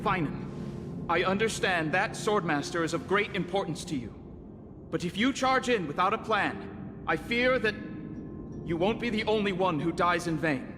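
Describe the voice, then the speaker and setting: An actor playing a respectful, earnest young messenger in a video game, deferential and formal. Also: male voice, sits high, animated, moderate pace. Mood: formal, cautioning. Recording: long reverb, music under the voice.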